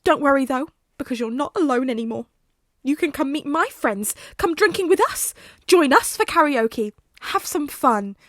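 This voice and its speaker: Girl doing a super earnest young hero guy, high voice, gushy and dramatic, story reading. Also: animated, normal speed, fairly bright tone, no room sound, studio quality. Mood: eager, chummy. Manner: effusive, dramatic monologuing. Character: earnest, gushing, excitable young male hero.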